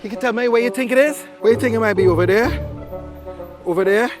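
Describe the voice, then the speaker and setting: A man's voice: very high voice, fast, music in the background. A man working as a children's storyteller, playfully addressing young listeners in short phrases.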